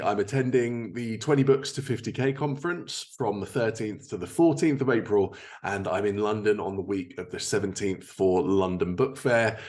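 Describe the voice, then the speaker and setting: A man's voice: on the low side, a little mellow. A man hosting a podcast, presenting prepared updates and introductions. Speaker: podcast host.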